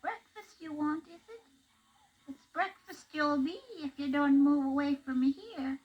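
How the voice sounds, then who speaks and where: A woman's voice: high voice, measured pace, lo-fi sound. A woman voicing a tall, thin, menacing female giant who cackles and threatens, on an old storybook record.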